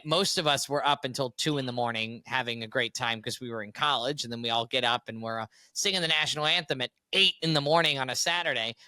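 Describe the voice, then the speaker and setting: Young male co-host, bright and lively, tenor-ish, close dry studio mic. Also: medium pitch, dry recording. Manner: upbeat, animated storyteller. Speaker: podcast co-host. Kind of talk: casual chat.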